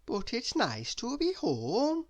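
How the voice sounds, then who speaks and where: A woman's voice: on the high side, huge pitch swings, slow, dull audio, voice pushed up, full character voice. A woman voicing a prim, fussy little storybook lady with a dainty, careful delivery. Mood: pleased, prim.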